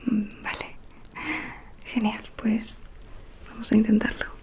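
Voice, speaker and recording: A woman whispering in a breathy, soothing, hushed way, playing a calm, mysterious witch fortune teller in an ASMR roleplay. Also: medium pitch. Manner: slow, hushed, soothing delivery. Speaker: ASMR performer.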